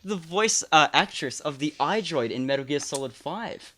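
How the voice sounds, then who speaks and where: A man's voice: fairly high pitch, expressive, normal speed, pushed and bright, a little hiss or hum. A young man hosting a gaming show, interviewing a guest eagerly with agreeable fillers. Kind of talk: casual interview.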